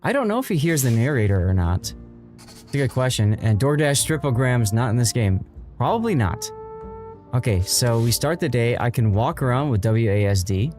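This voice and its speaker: A man gives casual live commentary on a video game in a mid-pitched voice. He is easygoing and thinks out loud, with faint guitar music behind him. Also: very animated, moderate pace.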